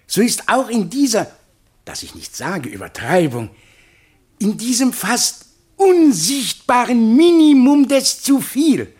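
An oily, self-pleased scholar in a literary radio drama. He speaks slowly in a husky voice that swoops widely, drawing out his elaborate flattery in a mannered way. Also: high-pitched, full character voice. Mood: mincing, cautious. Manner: unctuous, mannered, over-elaborate. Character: fawning, slippery scholar who flatters everyone and himself. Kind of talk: theatrical radio play acting of literary text.

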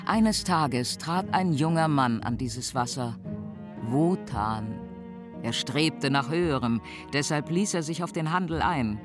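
Earth mother narrator, slow measured storytelling, solemn, fantasy radio play, clear music bed. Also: low voice. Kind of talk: scripted storytelling narration for German radio drama. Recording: studio narration over music.

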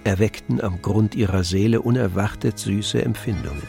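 Gravelly male radio-play narrator. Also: on the low side, normal speed, mellow. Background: music.

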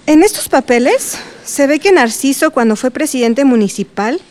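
An actress plays a professional woman lawyer in a radio drama. Her voice is fairly high, and she explains each point in a composed, formal, measured way. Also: animated, a little mellow. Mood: serious.

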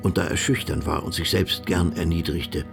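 A man's voice: low-pitched, fairly even intonation, normal speed, vocal fry. A man narrating a radio drama from a script. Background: music.

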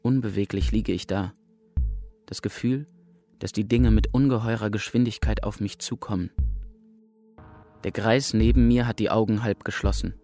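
An actor playing an exhausted camp prisoner in a radio drama, speaking a terse, stark and urgent first-person monologue. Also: male voice, fairly low pitch, steady tone, moderate pace, long pauses, mellow, natural voice. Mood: weary, urgent. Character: exhausted male camp prisoner awaiting liberation. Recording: faint music behind the voice.

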